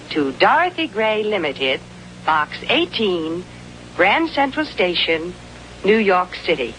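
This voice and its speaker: A woman's voice: fairly low pitch, fairly bright tone, poor audio. A woman announcing a scripted skin cream commercial in a vintage advertising style. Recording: old commercial with faint hum, noise.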